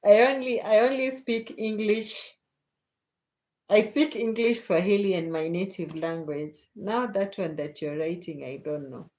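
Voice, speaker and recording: A woman's voice: mid-range voice, a little slow, a little mellow. A Kenyan woman hosting a casual late-night livestream, chatting unhurriedly with viewers and laughing often. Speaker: livestream host. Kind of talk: casual chat reading viewer comments.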